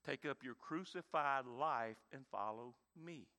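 A man, preaching a sermon with dynamic emphasis and quiet, intense stretches. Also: medium pitch, unhurried. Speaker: pastor.

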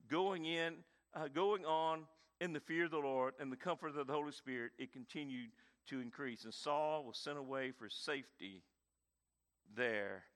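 A man, preaching a sermon with dynamic emphasis and quiet, intense stretches. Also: fairly high pitch. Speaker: pastor.

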